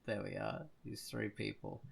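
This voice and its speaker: Creaky-voiced man chatting on a podcast. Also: lowish voice, little pitch movement, normal speed. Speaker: podcast host. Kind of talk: casual podcast chat.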